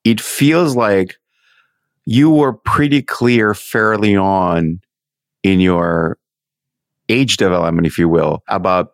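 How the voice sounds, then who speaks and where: A man's voice: low-pitched, slow, no echo. A man interviewing in a reflective, conversational way, phrasing his questions thoughtfully in pieces. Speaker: interviewer, host.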